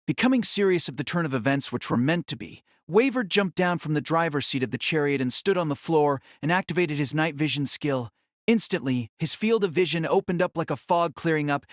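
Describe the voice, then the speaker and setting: Male narrator for fantasy audio drama, slightly high voice, scripted dramatic reading, dry close recording. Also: no echo.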